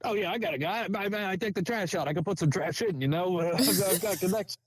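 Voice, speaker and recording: Man putting on a high-pitched drunk, crude caller. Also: quick pace, a little hiss or hum, lightly acted. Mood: rambling, chummy. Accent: inconsistent put-on accent. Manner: stammering, rambling, chuckling, exclaiming. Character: drunk, crude, chummy Greek-Italian janitor caller. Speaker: caller on a live comedy show. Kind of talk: improvised comic call-in.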